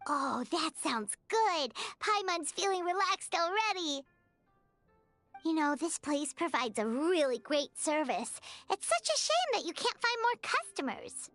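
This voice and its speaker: A woman voicing a small, naive, chirpy fairy companion with a childlike, squeaky voice in an anime-style video game. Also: measured pace, faint music behind, full character voice. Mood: cheerful. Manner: refers to herself in the third person, eager, expressive.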